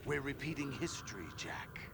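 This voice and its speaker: A man's voice: mid-range voice, animated, normal speed, gravelly, a crowd in the background. An actor playing a scheming older warlord who is foster father and enemy to the hero, speaking in a grave, brooding way with whispered asides.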